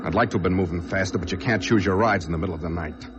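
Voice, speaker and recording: An actor playing a desperate, whining small-time crook on the run in an old radio crime drama, stammering, pleading and intense. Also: male voice, low voice, racing, close to an everyday voice. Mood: bitter, brooding. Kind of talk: old radio crime drama, dialogue and first-person narration. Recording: noisy vintage radio drama recording.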